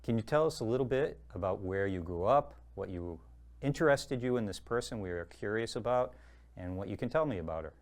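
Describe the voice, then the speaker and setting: A man with a very deep voice hosts a history program. He speaks in a measured, formal manner, reading his introductions and asking his guest questions. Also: animated. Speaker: host. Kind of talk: scripted presentation and interview.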